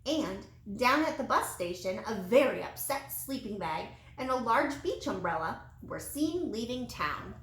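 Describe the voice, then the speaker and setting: Lady reading kids a story, really expressive, voice up and down a lot, bit echoey. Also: on the high side, unhurried, hardly any pauses. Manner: animated, dramatic emphasis, acts out dialogue. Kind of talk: expressive children's storybook read-aloud. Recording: roomy, echoey sound.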